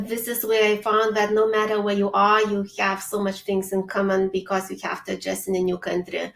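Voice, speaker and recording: A woman with a Lithuanian accent speaks on a video call in an online panel, earnest and at times searching for the right words. Her pitch stays fairly flat, and her voice carries an echo from the room. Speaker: summit speaker. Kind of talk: casual panel chat.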